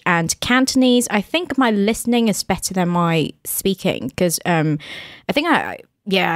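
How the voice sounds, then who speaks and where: English woman guest on a podcast, lowish voice, lots of ums and little laughs, super dry close mic. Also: dry recording. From England. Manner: chatty, laughs often, many ums and restarts. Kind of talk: casual interview chat.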